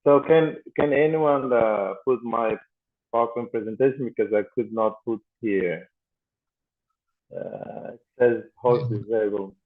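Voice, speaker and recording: A man from Timor-Leste gives a presentation over a video call. He speaks slowly and hesitantly, with many fillers. The audio is thin and phone-like. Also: medium pitch. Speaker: guest speaker.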